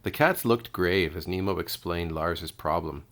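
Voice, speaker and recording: A man's voice: sits low, a little slow, fairly bright tone. A man reading children's storybooks aloud expressively, like a kindergarten teacher.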